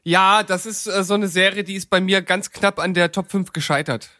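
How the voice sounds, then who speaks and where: Guy w high voice, casual podcast talk, kinda feeling for words, dead dry room. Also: fairly even intonation, moderate pace, pushed and bright, dry recording.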